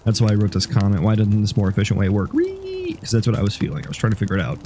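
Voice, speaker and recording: A man's voice: lowish voice, very animated, very fast, dark tone, slight background noise. A sniffly man, a game developer, talking casually through his code and rambling as he thinks aloud. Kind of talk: casual dev log.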